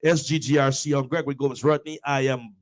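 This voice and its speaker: A man's voice: mid-range voice, steady tone, a little fast. A man with a Guyanese accent hosting a live motivational talk, preaching in repeated, building phrases with frequent "oh yes" interjections. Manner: preachy, repetitive, builds with rising exclamations. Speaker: host and motivational speaker.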